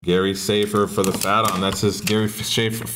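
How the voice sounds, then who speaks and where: A man's voice: lowish voice, little pitch movement, moderate pace, background noise. A man hosting a live-stream auction, repeating himself and hyping each item in an upbeat patter.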